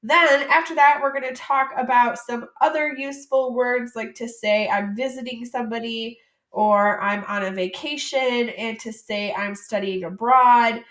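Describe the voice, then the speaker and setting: A woman teacher from the United States walks students through French vocabulary, pronouncing each word with care before chatting about it in relaxed English. Her voice is bright and on the high side. The sound carries some echo from the room. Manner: reads French words slowly, then explains in casual English. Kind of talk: vocabulary lesson.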